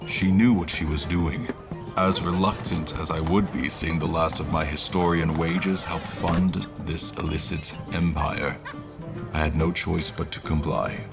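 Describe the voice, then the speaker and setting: A man narrating a fantasy audio drama as a reflective historian, in a literary, deliberate, measured way. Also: a bass voice, fairly even intonation, creaky, over music, full character voice. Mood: resigned. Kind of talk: scripted first-person story narration.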